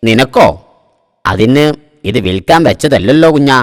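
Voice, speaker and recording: A man's voice: mid-range voice, lively intonation, moderate pace, close to an everyday voice. A man voicing a sly, grumbling shop owner in a cartoon, with long echo on the recording. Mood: evasive, sly. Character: grumbling, sly adult male shop owner, evasive boss. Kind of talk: cartoon voice acting.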